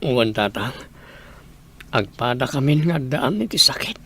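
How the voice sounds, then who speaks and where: A man's voice: mid-range voice, sing-song, unhurried, fairly bright tone, slightly rough, heavily acted. An Ilocano man playing a remorseful, resigned grown son in an audio drama.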